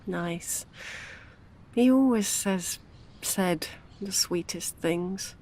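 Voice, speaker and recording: A woman's voice: fairly low pitch, drawn-out words, mellow, full character voice. An actress with a British accent playing a grieving older widow and mother, wry and devoted, in an intimate audio drama. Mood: subdued, wry.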